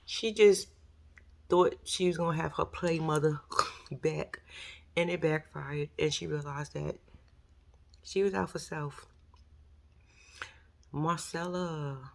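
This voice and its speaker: A woman with a New York accent talks casually on her vlog, her voice raspy and gravelly and sitting fairly low. She is chatty and slangy, and she acts out what other people said. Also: fairly even intonation, slow pace, long pauses. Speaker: YouTube commentary host.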